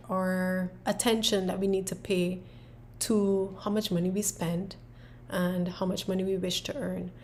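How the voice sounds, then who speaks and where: Barbadian woman presenter, lowish steady voice, relaxed explainer with "right?" tags. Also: slight background noise. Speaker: planner creator and presenter. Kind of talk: casual explanatory walkthrough.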